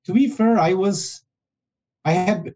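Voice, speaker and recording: Man with a mellow, halting voice. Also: sits high. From Argentina. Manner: halting, restarts phrases often. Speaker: guest, business development director. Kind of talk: casual interview conversation.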